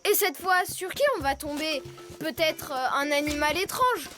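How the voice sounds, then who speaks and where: A woman plays an eager young boy animal in a cartoon. The voice is high and youthful, curious and politely friendly, with light music behind it. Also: normal speed, lightly acted. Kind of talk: French cartoon dub acting.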